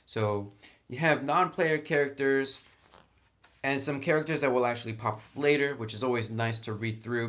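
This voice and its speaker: A man's voice: medium pitch, fairly bright tone. A man in a chatty, enthusiastic style, reviewing a tabletop roleplaying game. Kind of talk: casual review talk.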